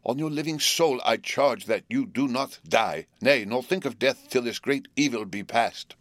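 A man voicing an elderly male professor, speaking gravely, slowly and emphatically in a fatherly way. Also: fairly low pitch, dry recording, lightly acted. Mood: fervent urging. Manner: grave, slow and emphatic pleading.

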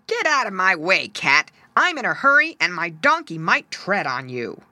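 A woman voicing a gruff, hurried man with a donkey in a storybook, brusque and loud. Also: on the low side, very animated, no echo, exaggerated voice. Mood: impatient.

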